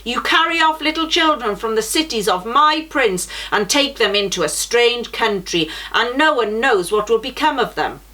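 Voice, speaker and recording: A woman voicing an angry young male giant in a children's storybook, loud and accusing. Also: on the high side, expressive, normal speed, hardly any pauses, pitched above the performer's own voice, rough recording.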